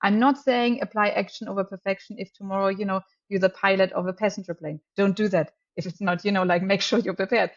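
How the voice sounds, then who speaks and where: A woman's voice: mid-range voice, steady tone, fast talker, hardly any pauses, dull audio. A woman whose first language is German, chatting as a podcast guest with enthusiasm and frequent laughter, sometimes correcting herself mid-sentence. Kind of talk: casual podcast conversation.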